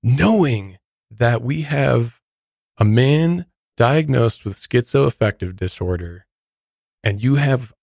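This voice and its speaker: A man's voice: lowish voice, darkish tone, no room sound, studio quality. An American man co-hosting a true crime podcast, giving his opinions in a casual, conversational way. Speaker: podcast co-host.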